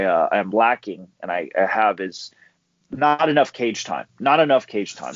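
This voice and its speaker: A young man, a professional fighter, telling stories in a relaxed way as a guest on a casual podcast interview, laughing easily. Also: lowish voice, dry recording. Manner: laid-back storyteller who laughs easily. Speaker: guest, pro MMA fighter. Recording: dull dry audio.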